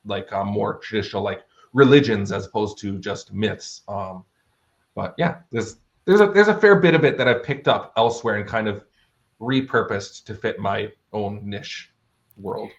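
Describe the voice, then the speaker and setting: A man's voice: fairly low pitch, normal speed, vocal fry, dull audio. A man speaking as an author being interviewed, explaining his fantasy worldbuilding in an articulate, bookish, conversational way.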